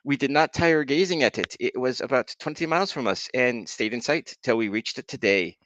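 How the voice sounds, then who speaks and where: A man voicing a male pioneer diarist, reading his trail notes haltingly with many fillers. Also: medium pitch, a bit bright, no room sound, close to an everyday voice. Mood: wistful. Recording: dull lo-fi dry sound.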